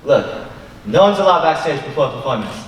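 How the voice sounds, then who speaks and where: A man's voice: medium pitch, big pitch swings, a little fast, close to an everyday voice. A young man playing a haughty, indignant actor backstage in a stage play, recorded from far off in an auditorium. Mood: haughty, grudging. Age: young adult. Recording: echoing auditorium from far off, faint noise.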